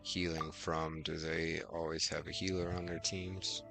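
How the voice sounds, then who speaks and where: A man's voice: extremely low pitch, little pitch movement, a little slow, creaky. A man giving casual live game commentary as a streamer, musing aloud and answering his chat. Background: game music.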